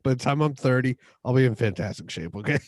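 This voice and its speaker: A man's voice: medium pitch, animated, fast talker, darkish tone, dry recording, studio quality. A man in his twenties with an American accent, bantering casually and slangily as a podcast co-host. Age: 20s. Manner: slangy, buddy-like, addressing others as bro and dude.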